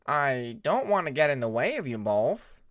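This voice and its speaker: A man's voice: mid-range voice, big pitch swings, normal speed, fairly bright tone, dry recording, clean studio sound. A man voicing a friendly young male dragon prince in a storybook reading, casual and amiable. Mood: unsure.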